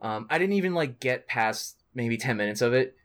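Man, podcast co-host, casual gaming chat, excitable, slangy and profane, medium pitch. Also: moderate pace. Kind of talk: casual chat about video games.

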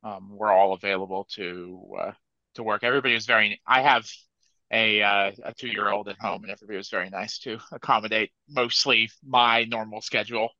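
Bright-voiced man on a dull lo-fi mic. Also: fairly low pitch, steady tone, brisk, no room sound. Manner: easygoing, hesitant, many fillers. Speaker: software developer and coach guest. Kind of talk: casual panel chat.

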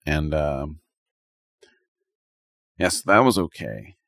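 A man's voice: extremely low pitch, sing-song, drawn-out words, creaky, a bit bright, no echo, studio quality. A man co-hosting a podcast in casual chat, rambling a little and thinking aloud as he gives his opinions. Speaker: podcast co-host. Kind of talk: casual chat, reviewing anime.

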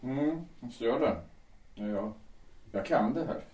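A man's voice: mid-range voice, distant mic, close to an everyday voice. A man playing a sly, shadowing stranger. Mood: calm. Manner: calm, sly, quietly persistent. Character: quiet insinuating man, a creepy shadow. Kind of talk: amateur audio drama acting. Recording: roomy, from off-mic.

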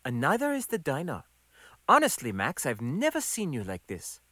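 A self-assured woman in an audiobook, played by a man, pitched somewhat up and swinging expressively. The delivery is firm and teasing, pressing each point with a bright edge. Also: huge pitch swings, moderate pace, no echo, heavily acted. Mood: firm, caring. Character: confident, needling adult woman, longtime diner friend.

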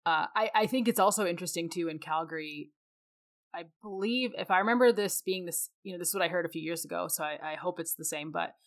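A woman's voice: on the low side, fast talker. A woman with a Canadian accent co-hosting a podcast, explaining things in a casual, conversational way. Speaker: podcast co-host; mechanical engineer.

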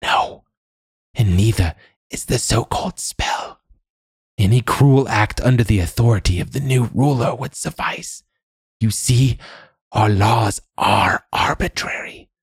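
A man voicing a hunched, eager and bitter old woman, an outcast mage in a fantasy story, with a croaking, breathy voice. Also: mid-range voice, dry recording, clean studio sound, pitched above the performer's own voice. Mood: bitter, knowing. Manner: halting fragments, then eager outpouring.

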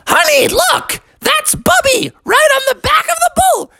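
A man voicing a doting mother bear in a storybook tale, shouting in a high falsetto. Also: expressive, unhurried, vocal fry, no room sound, studio quality, full character voice. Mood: thrilled.

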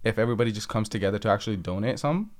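A man's voice: lowish voice, rapid-fire. A man guesting on a podcast, explaining at length in casual chat, restarting sentences and leaning on fillers.

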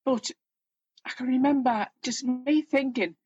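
A woman's voice: sits high, a little slow, a little mellow, breathy edge, dry recording, lo-fi sound. A woman in her fifties with a Geordie accent, telling her own story in a candid, plain-spoken, self-deprecating way as an interview guest.

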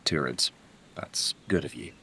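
A man's voice: a bass voice, a little slow, bright, forceful tone, slight background noise, heavily acted. An actor playing a furtive, wry and friendly wartime resistance man in a naturalistic audio drama, speaking in a hesitant, understated way. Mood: grateful.

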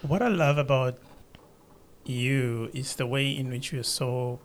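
A man's voice: medium pitch, fairly even intonation, slow, a little hiss or hum. Chatty male podcast host. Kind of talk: conversational interview hosting.

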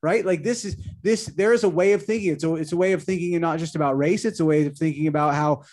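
A man's voice: on the high side, quick pace, a little mellow. A man co-hosting a casual talk show, rambling with many restarts and frequent "right?". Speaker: podcast co-host. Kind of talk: casual opinionated chat.

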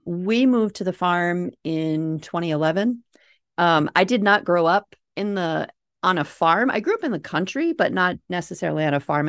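Chatty Minnesota woman, low dry voice. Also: dry recording. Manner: chatty, laughing easily, with filler uhs. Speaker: guest. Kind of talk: casual interview chat.

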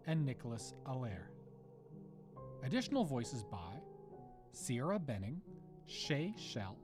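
A man hosting an audio drama production, giving a friendly scripted outro with thanks and credits. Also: medium pitch. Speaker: producer and show host. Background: guitar music.

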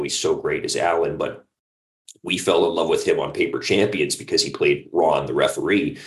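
A man chatting casually and enthusiastically as an interview guest, rambling with plenty of "like" and "you know". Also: low voice. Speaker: audio drama creator and producer, guest. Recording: echoey room.

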